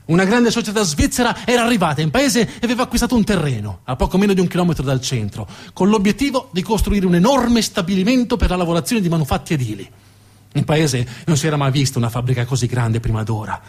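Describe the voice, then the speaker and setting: A man's voice: high-pitched, lively intonation, fast talker, breathy edge. A man telling a story as a stage narrator, emphatic and dramatic, building up lists and repetitions.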